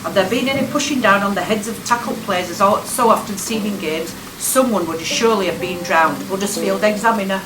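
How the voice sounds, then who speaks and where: A woman's voice: mid-range voice, brisk, hardly any pauses, very noisy, natural voice. A woman playing a grave reader of old newspaper match reports in a radio play. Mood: grave, dramatic. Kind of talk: dramatic reading of newspaper reports. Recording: echoey, distant from microphone. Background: guitar music.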